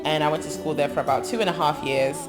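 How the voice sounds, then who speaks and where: Gospel singer, thirties, from England; low, unhurried and thoughtful, lots of ums; clear music bed behind. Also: female voice, fairly even intonation. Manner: thoughtful, long lists with frequent ums. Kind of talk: interview monologue about her life. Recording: music playing under her voice. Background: background music.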